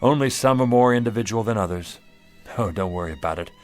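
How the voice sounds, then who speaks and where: An actor playing a humble but wily down-on-his-luck street pitchman in a radio drama, talking in a folksy, earnest manner. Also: fairly quick, faint music behind. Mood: dejected, resigned. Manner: folksy, earnest, expressive talk.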